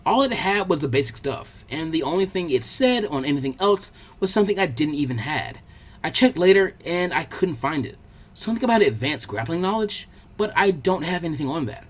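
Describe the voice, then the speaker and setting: A man plays a brash young ninja boy in a storybook reading. The voice is husky and swings around a lot, informal, eager and earnest. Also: on the high side, lively intonation, brisk. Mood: curious. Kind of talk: fan fiction audiobook character voice.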